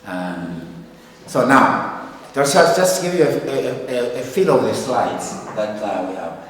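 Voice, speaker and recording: A man's voice: mid-range voice, expressive, takes it slowly, a bit bright. An older man from Zambia giving a church report in a hesitant, informal way, with many fillers and restarts. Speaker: ministry leader giving a report. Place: church hall. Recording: echoey hall, mic at a distance.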